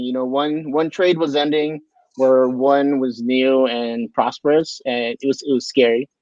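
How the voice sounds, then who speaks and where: A man's voice: mid-range voice, dry recording. A man from Hawaii, a guest bartender, talking casually and easily in an interview over a video call. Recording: dull dry video call audio.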